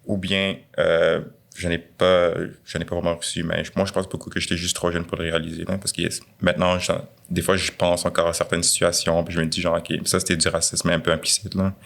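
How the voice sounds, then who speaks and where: A young man with a Quebec French accent, talking casually and reflectively in a conversation, with many pauses and fillers. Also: deep voice, level tone, creaky, a bit bright. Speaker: guest.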